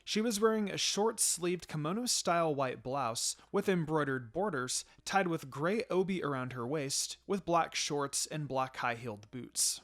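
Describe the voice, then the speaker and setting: A man's voice: a little high, unhurried, few pauses, a bit bright. A man narrating a scripted fan fiction story as a storyteller. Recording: music behind the voice.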